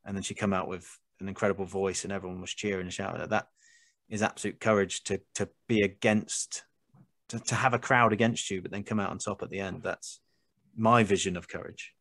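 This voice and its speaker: A man's voice: sits low, steady tone, a little fast, fairly bright tone, no room sound. A British man hosting a casual conversational interview, talking earnestly and rambling a little as he thinks. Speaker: podcast host.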